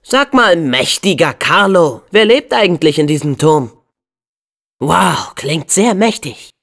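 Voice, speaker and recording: Casting: brave teenage boy game hero, earnest and upbeat, very animated pitch, unhurried pacing. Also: vocal fry, heavily acted. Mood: curious, earnest. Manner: earnest, expressive, youthful. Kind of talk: video game voice acting.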